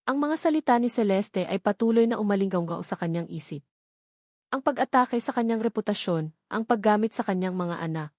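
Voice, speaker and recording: A woman's voice: mid-range voice, lively intonation, brisk, a little mellow, dry recording, clean studio sound. A woman reading scripted, dramatic narration of a romance story as a storyteller.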